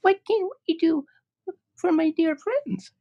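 Squeaky little mouse queen. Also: slow, warm tone, dull audio, heavily acted. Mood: kindly. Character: squeaky tiny female mouse queen, kindly.

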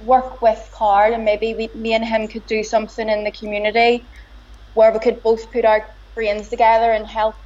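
A woman's voice: on the high side, completely flat delivery, moderate pace, darkish tone. A woman with a broad Belfast accent, talking as a guest in a casual, hesitant conversational way. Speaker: guest, boxer's partner. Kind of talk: casual interview. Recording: slightly dull lo-fi sound.

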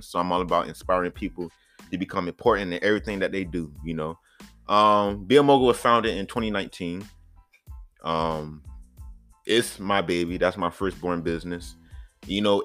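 A man's voice: low-pitched, a bit bright, clean tone, no room sound. A man co-hosting a casual podcast, easygoing and rambling with many ums. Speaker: podcast co-host and clothing brand owner.

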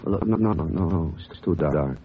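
A man's voice: extremely low pitch, normal speed, faint music behind. A man playing a nervous, talkative, streetwise taxi driver who was a crime victim, in an old radio drama. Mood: shaken, rattled. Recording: muffled, noisy old radio recording.

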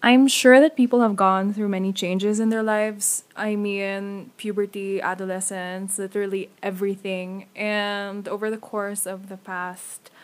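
A woman's voice: medium pitch, level tone, a little slow, few pauses. A young adult woman, hosting a casual podcast and chatting with a laid-back, laughing manner. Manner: chatty, laughs often, trails off softly. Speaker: podcast host. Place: away from her usual setup, somewhat noisy.

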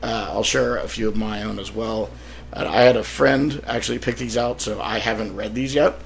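A man hosting a solo podcast in a casual, wryly joking manner, his voice a little rough from being sick. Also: lowish voice, steady tone, moderate pace, a little hiss or hum. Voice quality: slightly rough, throat-clearing. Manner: wry, self-deprecating joking. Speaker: podcast host and author.

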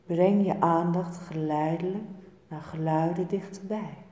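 A woman leads a guided meditation in a low, soft-edged, mellow voice. She speaks calmly and very unhurriedly, leaving pauses between short phrases. The sound carries a long, echoing reverb. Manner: calm, soothing, measured with short phrases and pauses. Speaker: yoga nidra meditation teacher. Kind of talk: guided relaxation and meditation instruction. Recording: echoey room with long reverb.

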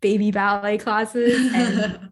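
A woman's voice: mid-range voice, steady tone, takes it slowly. A young woman chatting casually and cheerfully as a guest in an interview. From grew up in Singapore and New York. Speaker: podcast guest, product designer and former ballerina.

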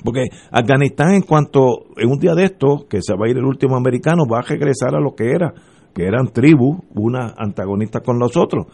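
A man talks on a radio show, building his points through rhetorical questions. He speaks at a middling pitch, with frequent restarts as he presses each argument. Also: lively intonation, brisk, gravelly, warm tone, slightly rough. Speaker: radio talk show host. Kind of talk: political commentary on talk radio.

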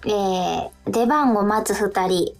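A woman's voice: mid-range voice, slow pace, gravelly, soft background music. A woman co-hosting a radio show, chatting casually in a polite, modest, giggly way.

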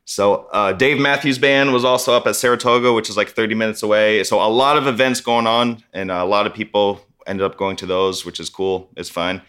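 Upbeat low-voiced man from New York. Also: fairly even intonation, fairly quick, few pauses, a bit bright, clear, resonant tone. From Schenectady, New York. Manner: upbeat, enthusiastic, easygoing. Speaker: DJ and breakdancer guest. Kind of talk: casual interview chat.

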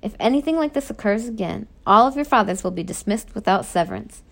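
A woman narrator plays a furious middle-aged prison warden from a children's novel. He is gravelly and forceful, scolding with stern, accusatory authority. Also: mid-range voice, expressive, fast.

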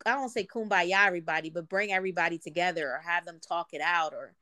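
A woman's voice: on the low side, quick pace, sharp tone. A woman co-hosting a podcast, congested from a cold, rambling informally with many fillers. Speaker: podcast co-host. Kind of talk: casual chat.

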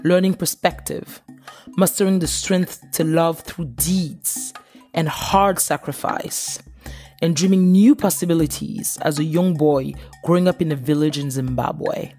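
A woman's voice: deep voice, a little slow, a little mellow, faint music behind. A woman from Africa hosts a podcast interview, talking in an eager, effusive way. She speaks over a video call, often restarting her sentences as she goes. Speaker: podcast host.

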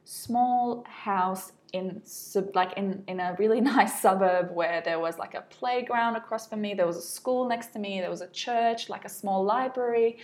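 Young syrian aussie woman, medium pitch, casual storytelling, says like and you know a lot. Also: moderate pace, hardly any pauses, darkish tone. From Aleppo, Syria. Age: early 20s. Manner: informal, reflective, frequent "you know" and "like". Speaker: interview guest.

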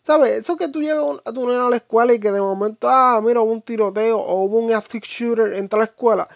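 Puerto Rican vlogger, informal and opinionated, halting slangy delivery, close dry sound with muffled lo-fi quality. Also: mid-range voice, creaky, no echo. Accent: Puerto Rican Spanish. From Puerto Rico. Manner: informal, halting, peppered with slang and English fillers. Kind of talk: casual, opinionated monologue to viewers.

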